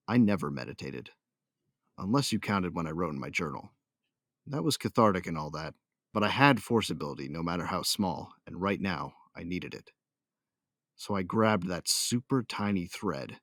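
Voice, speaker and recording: A man's voice: sits low, a bit bright, dry recording, clean studio sound, exaggerated voice. A man playing a hot-tempered warrior who narrates his own battles in an audio drama, intense and sardonic. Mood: tense, brooding.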